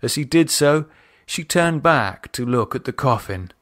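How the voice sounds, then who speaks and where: A man's voice: medium pitch, lively intonation, unhurried, a bit bright, dry recording, studio quality. A man reading his own horror novel as a scripted audiobook narration.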